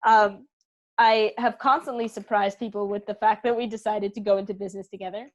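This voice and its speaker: A woman's voice: mid-range voice, fairly even intonation, brisk, highs cut off. A young woman giving conversational interview answers in an effusive, emphatic way. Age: young adult. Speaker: interview guest, theatre company co-founder.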